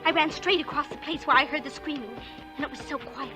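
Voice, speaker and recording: A woman's voice: high voice, fast, music in the background, close to an everyday voice. A woman playing a plucky, inquisitive little girl with an artless, earnest manner in a 1940s radio suspense drama. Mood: breathless storytelling.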